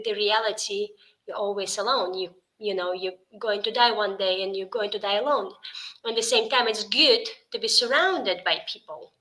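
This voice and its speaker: A woman, a podcast guest talking in a casual interview, in halting full of "like" and "you know.". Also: medium pitch, pushed and bright, low-quality recording. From Russia.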